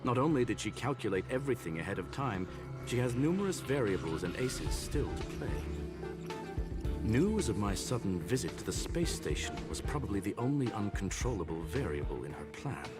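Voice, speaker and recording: An actor playing a composed, analytical gentleman machine in a video game, speaking in formal, measured, eloquent phrases. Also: fairly low pitch, sing-song, over loud music. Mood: courteous, composed. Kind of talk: scripted video game voice acting.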